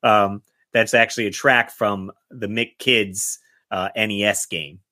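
A man's voice: fairly low pitch, steady tone, unhurried, pushed and bright. A man chatting casually as a podcast host in an easygoing manner.

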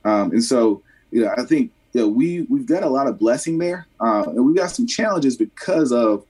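Halting man, slightly distant mic. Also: mid-range voice, animated, a little mellow, slightly rough. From Columbia, South Carolina. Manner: halting, many fillers and restarts. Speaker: mayoral candidate. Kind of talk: interview answers. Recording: voice somewhat off mic.

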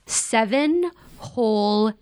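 An actress playing an outspoken, wisecracking young American elder sister in a naturalistic modern audio drama, with blunt, casual banter. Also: female voice, fairly high pitch, lively intonation, drawn-out words, a little mellow, clean tone, dry recording. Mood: eager, exasperated. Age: young adult.

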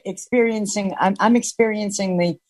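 A woman calling in to a discussion show, chatty and quick to laugh as she asks a question. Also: medium pitch, fairly even intonation, background noise. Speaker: caller. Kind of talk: call-in chat.

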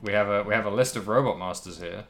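A man with a fairly low voice co-hosts a gaming podcast. He talks casually and rambles a little, working out his thoughts out loud as he goes. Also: fast. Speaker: podcast co-host. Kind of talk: casual chat about a video game.

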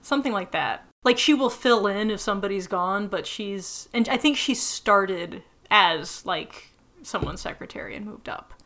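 A woman's voice: medium pitch, normal speed, sharp tone. An American woman co-hosting a podcast, chatting casually about a TV episode with many fillers and frequent laughs. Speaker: podcast co-host. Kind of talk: casual chat recapping a TV episode.

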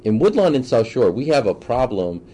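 A man of forty-nine with an American accent, answering interview questions as a political candidate in a halting, thoughtful, reflective way. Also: medium pitch, very animated, warm tone, a little hiss or hum. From Boston, USA.

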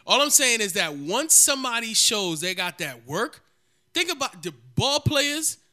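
A man's voice: very high-pitched, very animated, measured pace, sharp tone. A man co-hosting a podcast, teasing and trash-talking in casual chat. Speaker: podcast co-host.

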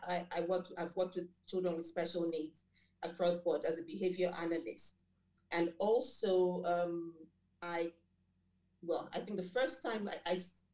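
Woman, middle-aged, from Nigeria, native Yoruba speaker, low voice, measured with fillers, echoey room. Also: darkish tone. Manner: measured, with many fillers and self-corrections. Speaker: guest, school director and behavior analyst. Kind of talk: interview answers.

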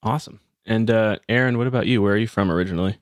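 A man's voice: on the low side, racing, dry recording, clean studio sound. A man hosting an interview podcast, moving between scripted introduction and questions. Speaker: podcast host.

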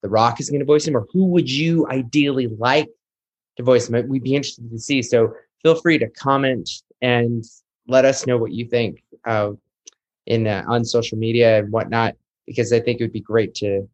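A man's voice: medium pitch, highs cut off. A man hosting a casual podcast chat, speaking with enthusiasm and addressing his listeners directly. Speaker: podcast host.

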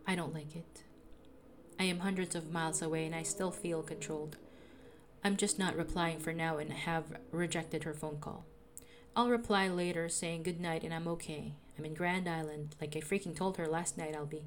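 Soothing, lower-voiced young woman. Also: normal speed, slight background noise. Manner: calm, reflective, confessional. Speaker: podcaster. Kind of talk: personal diary narration.

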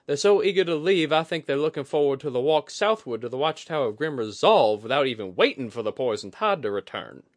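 Weathered, plain-spoken seafaring woman played by a male narrator, folksy and superstitious, lifted pitch, for a fantasy audiobook. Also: lively intonation, fairly quick, few pauses, no room sound, studio quality, natural voice. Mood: gruff, bitter. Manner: folksy, clipped dropped-g speech.

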